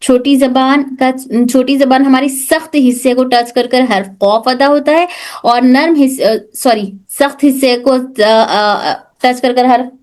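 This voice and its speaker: Woman, high voice, online class teacher, quizzes then answers herself, drops in English terms. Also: unhurried, a little mellow. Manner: asks questions then answers them herself. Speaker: tajweed teacher.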